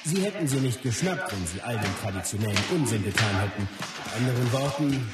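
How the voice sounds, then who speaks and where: An actor playing a self-assured, ambitious martial arts master in a radio drama, making firm declarations. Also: male voice, lowish voice, lively intonation, fairly quick, natural voice. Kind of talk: scripted radio drama monologue. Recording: distant, very echoey, loud music behind.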